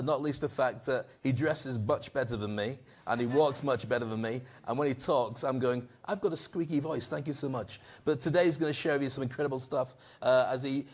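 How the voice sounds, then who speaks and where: A man hosting a live event on stage, joking with the audience and coaching them on public speaking. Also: mid-range voice. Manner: playful, jokey, coaxing the audience.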